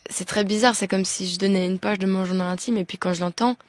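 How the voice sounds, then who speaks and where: A woman's voice: medium pitch, steady tone, fast talker, dry recording. A young woman from Belgium with a Belgian French accent, a singer as a radio interview guest, talking hesitantly with many restarts. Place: radio studio.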